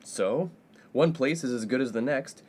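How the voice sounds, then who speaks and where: A man's voice: medium pitch, normal speed, a little mellow, close to an everyday voice. A man playing a brash, self-absorbed college playboy in a comedic radio play, breezy and self-important. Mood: breezy, smug. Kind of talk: comedic 1950s-set radio play acting. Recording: faint background noise.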